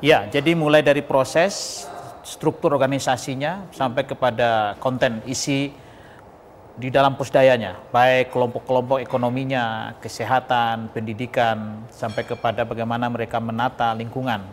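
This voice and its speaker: An earnest man answers as an interview guest. His voice is of middling pitch and he explains at length, point by point, in a hall with long reverb and faint noise. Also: steady tone, normal speed. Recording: echoing hall, noisy background.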